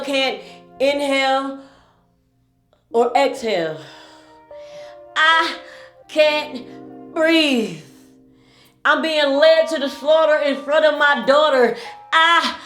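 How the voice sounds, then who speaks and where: A woman performing spoken word poetry with a slow, pleading, mournful delivery. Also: sits high, a bit bright, echoey room, faint music behind.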